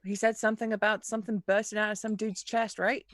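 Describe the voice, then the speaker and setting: A woman's voice: mid-range voice, flat delivery, fast, a bit bright, dry recording, studio quality. A woman playing a tabletop roleplaying game, chatting casually and speaking in character.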